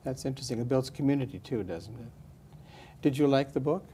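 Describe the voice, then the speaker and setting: A man with a mellow, warm voice interviews students about a lesson. He asks gentle, leading questions. Faint room ambience sits behind him. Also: mid-range voice, fairly quick, a little hiss or hum. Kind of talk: classroom interview.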